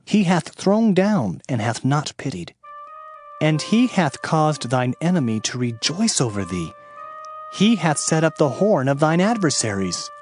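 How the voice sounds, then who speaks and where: Slow, solemn male scripture reader over music. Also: on the high side, sing-song, darkish tone. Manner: solemn, measured. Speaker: Bible narrator. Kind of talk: dramatized scripture reading. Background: background music.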